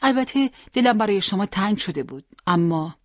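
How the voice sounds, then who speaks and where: A storyteller voicing a tired young soldier who answers his mother quietly and firmly in an audiobook. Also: mid-range voice, lively intonation, dry recording, clean studio sound, pitched above the performer's own voice, natural voice. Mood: resolute. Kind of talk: acted dialogue in an audiobook.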